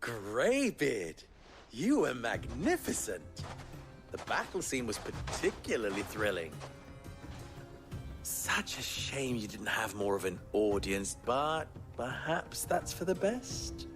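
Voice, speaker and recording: A suave fixer in a fantasy game. His mid-pitched voice swoops widely as he speaks with wry, formal eloquence over background music. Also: big pitch swings, takes it slowly. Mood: amused, mocking. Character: suave, wry, silver-tongued male fixer. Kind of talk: theatrical video game acting.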